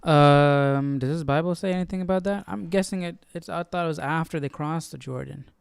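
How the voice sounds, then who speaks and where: A man hosting a casual Bible study stream, reading aloud and thinking out loud. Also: fairly high pitch, fairly quick, vocal fry, clear, resonant tone. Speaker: Bible study livestream host.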